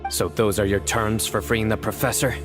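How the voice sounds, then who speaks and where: Man playing a low-voiced stoic young warrior. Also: little pitch movement, over music, lightly acted. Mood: guarded, probing. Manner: restrained, deliberate, serious. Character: calm, stoic young male warrior judge. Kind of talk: dramatic video game voice acting.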